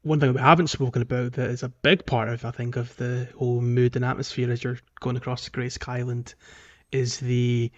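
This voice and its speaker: A man's voice: mid-range voice, level tone, brisk, few pauses, highs cut off. A man hosting a podcast, talking through a game in a casual, rambling, conversational way. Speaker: podcast host.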